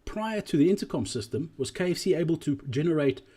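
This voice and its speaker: A man giving an explanatory business presentation as a voice-over, speaking deliberately and emphatically and repeating key phrases, with a slightly husky voice. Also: a little high, animated, dark tone. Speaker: presenter analysing drive-through performance.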